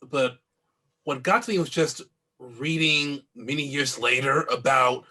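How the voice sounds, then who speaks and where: A man's voice: medium pitch, measured pace, pushed and bright, low-quality recording. A man talking casually as a panelist on a podcast. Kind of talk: casual chat.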